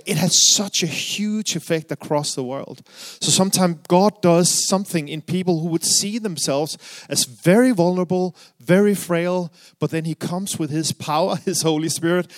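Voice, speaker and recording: A middle-aged man from Denmark with a Danish accent, preaching earnestly with frequent fillers and restarts. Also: a little high. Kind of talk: sermon.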